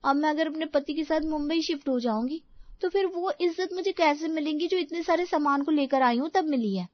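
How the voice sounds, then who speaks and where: A woman's voice: high voice, a little fast, dry recording, lo-fi sound, close to an everyday voice. A woman playing a proud, sweet-talking young married daughter in a Hindi moral story cartoon. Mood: worried.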